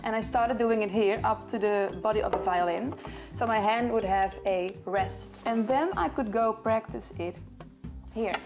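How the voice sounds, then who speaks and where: A woman's voice: fairly high pitch, a little fast, music in the background. A young Dutch woman with a Dutch accent, chatting casually as she answers questions to camera. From Netherlands. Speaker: violinist and video host. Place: small living room in front of bookcases.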